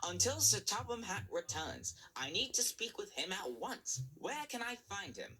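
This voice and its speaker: A man's voice: big pitch swings, normal speed, sharp tone, breathy edge, full character voice, rough recording. A man doing a brash American controller. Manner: brash, dismissive, high-pitched bluster. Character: rude pushy American male railway controller.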